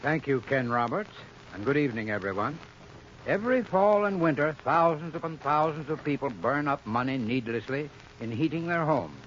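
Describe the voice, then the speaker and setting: A man with a slightly high voice delivers a 1930s radio commercial. His phrasing is formal and instructive, like a scripted expert talk. The recording is dull and muffled. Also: expressive, moderate pace, a bit bright, a little hiss or hum. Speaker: radio advertising spokesman, heating expert.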